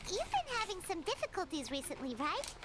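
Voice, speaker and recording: A woman voicing a small, eager, caring fairy companion in a video game with a squeaky childlike voice. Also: big pitch swings, brisk, heavy background noise. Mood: concerned.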